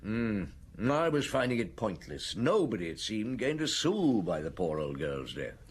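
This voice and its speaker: A middle-aged police inspector in an audio drama, with a low voice that moves around expressively. He is conversational and affable, yet shrewdly probing, pausing to muse. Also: very animated, slight background noise. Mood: reflective. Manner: conversational, probing, with musing hesitations and chuckles.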